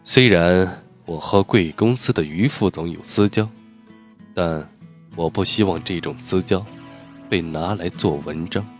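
A composed young architect with a cool, formal reserve, voiced by a man in an audiobook. His voice sits very low and even, with soft music playing underneath. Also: measured pace. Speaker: voice actor in an audiobook. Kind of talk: audiobook dialogue reading.

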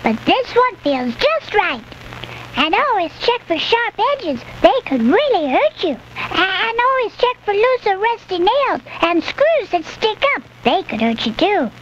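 Cheerful duck kids, very high, slow and earnest; muffled old film sound. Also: very animated, a bit bright, a little hiss or hum, full character voice. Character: young cartoon duck boys, eager safety helpers.

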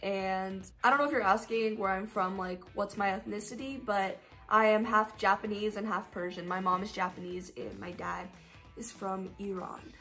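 A woman's voice: mid-range voice, fairly even intonation, moderate pace, bright, forceful tone, faint music behind. A teenage girl from Colorado answering her viewers' questions in a casual, upbeat, rambling way, with plenty of fillers. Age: teens. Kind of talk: casual Q&A vlog.

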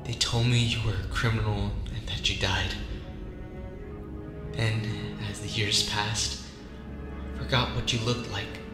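A man plays a long-lost grown son in an audio drama, gentle and earnest as he pleads. The voice echoes beneath prominent music. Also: fairly low pitch, level tone, unhurried, long pauses, close to an everyday voice. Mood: sorrowful, earnest. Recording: echoey voice under loud music.

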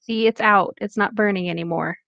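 A woman's voice: medium pitch, a little fast, vocal fry, fairly bright tone, no echo, highs cut off. A woman chatting casually and bluntly on a group call, playful and unbothered.

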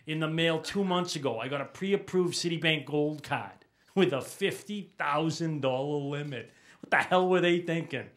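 High, bright-voiced comedian on stage. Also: male voice, moderate pace. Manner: energetic, punchy delivery to a small studio audience. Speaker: stand-up comedian. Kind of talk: stand-up routine. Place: small TV studio stage with live audience. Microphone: handheld mic on a stand.

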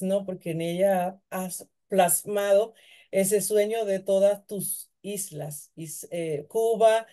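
A woman's voice: mid-range voice, warm tone. A middle-aged woman hosting a conversational interview over a video call. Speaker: interview host.

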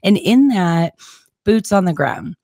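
A woman co-hosting a podcast in a studio, unhurried and affirming. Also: on the low side, animated, gravelly, mellow, dry recording. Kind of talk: panel podcast discussion.

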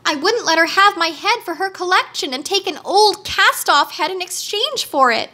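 Woman as little girl, storybook heroine, very high, bright, earnest, plaintive, emphatic. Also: expressive, normal speed, heavily acted. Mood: indignant. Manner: emphatic, pleading.

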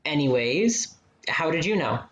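An actor playing a gentle, supportive young man in a radio play, conversational and reassuring. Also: a little high, lively intonation, measured pace. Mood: reminiscing, gentle.

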